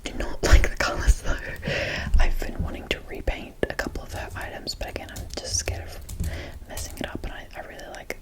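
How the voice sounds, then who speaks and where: A woman doing casual, unscripted ASMR in a breathy whisper, chatting in a rambling, gushing way close to the mic. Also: brisk. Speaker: ASMR video creator.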